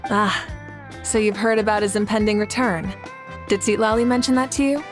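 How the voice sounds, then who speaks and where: A woman's voice: mid-range voice, moderate pace, natural voice. A woman playing an amused, confident fire ruler. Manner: relaxed, amused, gently teasing. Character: confident warm-hearted female fire ruler, adult. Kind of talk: game cutscene voice acting. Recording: game score music underneath.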